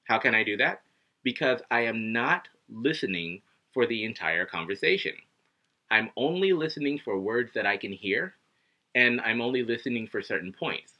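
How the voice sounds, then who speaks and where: A man in his thirties teaching English to camera at home, animated and acting out little scenes as he explains. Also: medium pitch, moderate pace. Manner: animated, acts out students and himself, gestures a lot. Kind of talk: casual video lesson to camera. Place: living room at home, indoors. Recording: dull lo-fi webcam audio.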